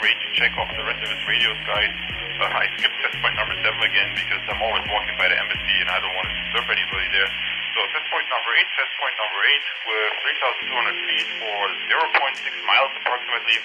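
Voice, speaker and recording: Low-voiced man talking over walkie-talkie. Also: fast talker, over loud music, poor audio. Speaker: product reviewer and vlogger. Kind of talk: casual range test commentary. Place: outdoors, walking along suburban roads.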